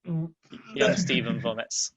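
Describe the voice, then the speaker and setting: A man's voice: medium pitch, slow pace, a bit bright, dry recording. A man reading stage directions as scripted narration at a table read.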